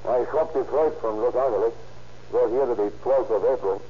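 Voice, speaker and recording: Actor as wary young suspect, old noisy radio. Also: male voice, medium pitch, moderate pace, narrow, tinny audio, lightly acted. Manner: simple ungrammatical speech, defensive. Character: young Mexican-American suspect, wary, indignant, plain-spoken. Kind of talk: 1930s radio crime drama acting.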